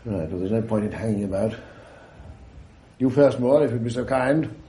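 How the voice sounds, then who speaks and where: An actor playing a middle-aged English schoolmaster, blustering, clipped and teasing, in a radio drama. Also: male voice, mid-range voice, normal speed, mellow, natural voice. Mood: wry, firm. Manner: clipped, bantering, mock-stern, old-fashioned.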